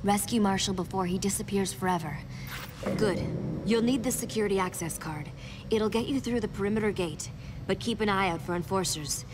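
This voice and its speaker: A woman's voice: medium pitch, a little fast, noisy background, lightly acted. An actress playing a guarded, resolute resistance operative in a video game, serious and secretive as she gives instructions. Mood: serious, determined. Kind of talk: video game cutscene acting.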